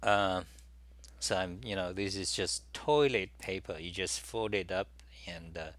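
A man's voice: low-pitched, unhurried, a bit bright, no echo. A middle-aged man, talking alone to camera in a meandering monologue full of "you know" and restarts. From Taiwan. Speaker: talk show host. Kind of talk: rambling solo monologue. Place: small room at a desk.

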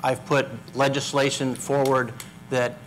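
Bright-voiced assertive middle-aged man. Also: mid-range voice, little pitch movement, unhurried, slight background noise, rough recording. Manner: assertive, interrupts, repeats himself. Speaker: political candidate. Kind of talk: panel debate. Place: community center hall with audience. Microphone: lapel mic.

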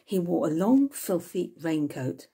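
A woman in her sixties reading a novel aloud in an expressive storytelling way, indoors at home. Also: on the low side, measured pace, husky. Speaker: author reading from own novel. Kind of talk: scripted narration, audiobook-style reading. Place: small room at home with bookshelves, indoors.

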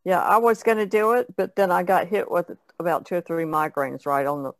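A woman's voice: on the low side, steady tone, a little fast, no room sound. A woman chatting casually as an artist on a podcast panel. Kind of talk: casual chat.

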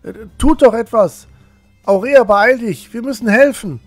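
An earnest, selfless boy hero of a fantasy audio play, acted by a man. His voice is high, urgent and imploring, with small stammers. Soft music sits behind it. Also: measured pace, no echo. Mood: alarmed. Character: injured, earnest, selfless teenage boy adventurer. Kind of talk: fan-made fantasy audio drama acting.

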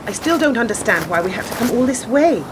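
A woman's voice: fairly high pitch, sing-song, fast, noisy background. A woman playing a sceptical, dry-witted woman in a radio play, full of questions. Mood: wry, complaining.

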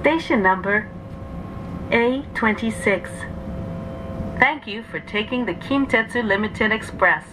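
Very slow female train announcer, noisy. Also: on the high side. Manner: measured, clearly enunciated. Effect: train PA loudspeaker. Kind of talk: scripted recorded announcement. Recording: train PA with clear vehicle noise. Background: train running noise.

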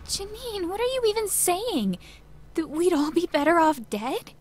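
A woman's voice: very high-pitched, big pitch swings, unhurried, full character voice. A woman playing an earnest, hopeful, protective young woman in a visual novel game, speaking emotionally. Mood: shocked, indignant. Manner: emotional, pleading, indignant. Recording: music under the voice.

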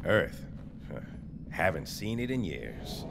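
A man playing a gruff, wisecracking marine sergeant in a video game, with a gravelly, cocky, folksy drawl. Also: low voice, big pitch swings, slow pace, noisy background, heavily acted. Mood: proud, confiding. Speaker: game character voice. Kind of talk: video game cutscene acting.

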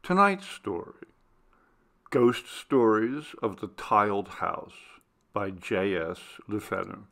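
A man narrating a classic gothic story as an audiobook, in a measured, expressive literary manner. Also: fairly low pitch, creaky.

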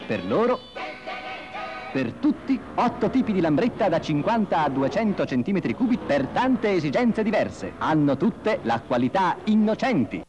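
A man's voice: high-pitched, very animated, moderate pace, highs cut off, music in the background. Punchy vintage ad announcer, man. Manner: punchy, salesy. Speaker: advertising announcer. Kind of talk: vintage ad voice-over.

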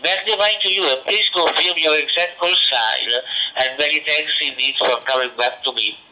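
A man from northern Italy with an Italian accent, speaking English as a radio operator in formal, deliberate call exchanges over a digital two-way radio. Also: fairly high pitch, sharp tone, rough recording. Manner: deliberate, spells call sign phonetically. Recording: digital walkie-talkie radio transmission.

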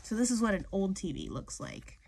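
A woman's voice: mid-range voice, big pitch swings, brisk, darkish tone, slight background noise. A young adult woman reading to children in an informal storyteller style. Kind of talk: informal read-aloud of children's poems. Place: small room indoors.

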